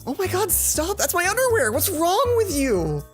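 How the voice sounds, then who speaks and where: A woman's voice: very high voice, huge pitch swings, moderate pace, voice pushed up, exaggerated voice. A woman voicing an exasperated female student heroine in a visual novel, indignant and blurting her lines. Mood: outraged. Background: game music.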